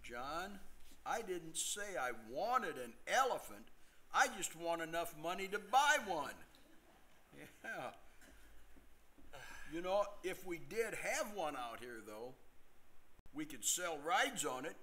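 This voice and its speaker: A man's voice: fairly high pitch, sing-song, slow, long pauses, sharp tone, exaggerated voice. An older man playing a droll, wisecracking nephew in a staged play reading. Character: wisecracking, droll joke-loving nephew Jim.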